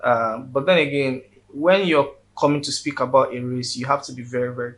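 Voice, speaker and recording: Nigerian guy guest on a chat, earnest, keeps repeating words, normal pitch. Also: a little fast, fairly bright tone. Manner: earnest, repeats words while building a point. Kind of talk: casual interview chat.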